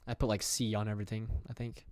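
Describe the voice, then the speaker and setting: A man's voice: lowish voice, little pitch movement, fast, darkish tone, no room sound. A young man from England chatting casually on a livestream from his room, rambling with fillers and reading viewer questions aloud. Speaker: streamer.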